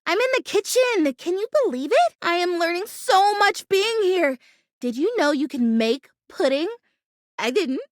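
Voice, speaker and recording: High, bubbly, scatterbrained houseplant girl. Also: animated, unhurried, no room sound, studio quality. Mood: excited, friendly. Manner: gushing, chatty, easily distracted, exclamatory. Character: bubbly, scatterbrained young female plastic houseplant longing to be real. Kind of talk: animated video game character acting.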